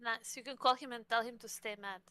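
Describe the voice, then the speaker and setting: A woman's voice: on the high side, fairly even intonation, brisk, bright, forceful tone, clean tone, no room sound. A woman taking part in a tabletop game as a player, chatting and bantering casually.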